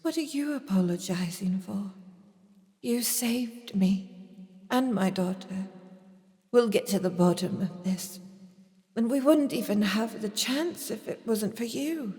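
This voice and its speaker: A woman's voice: medium pitch, animated, a little slow, a little mellow, natural voice. A woman playing a polite, anxious duchess and devoted mother in an audio drama, with a formal, emotional manner. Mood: apologetic, grateful. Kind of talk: scripted audio drama acting. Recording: long hall-like reverb.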